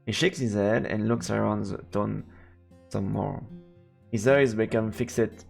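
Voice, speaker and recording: Gravelly French-accented man streaming a game. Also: on the low side, fairly even intonation, a little slow, soft background music. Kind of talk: live let's play, reading game text aloud and commenting.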